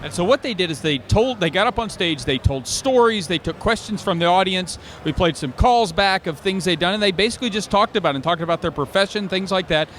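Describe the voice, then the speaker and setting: Man, high-pitched voice, interview host, conversational on-location delivery, faint outdoor urban noise. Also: fairly quick, few pauses, a bit bright.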